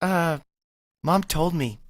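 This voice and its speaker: A woman playing a nervous teenage boy hurrying his date along in an amateur vintage radio play. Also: deep voice. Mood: sheepish. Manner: hurried, pushy and jittery.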